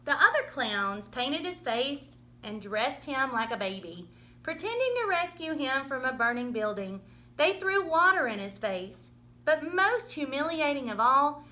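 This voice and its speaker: Animated, bright-voiced woman reading a storybook. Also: high-pitched, unhurried. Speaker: storybook reader. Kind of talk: expressive children's read-aloud narration.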